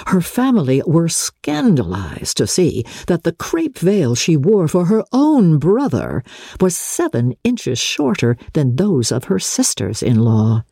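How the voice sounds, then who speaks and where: A woman narrating classic literature as a dramatic reading, with expressive, measured storytelling. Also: sits low, husky, no echo, clean studio sound. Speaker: audiobook narrator.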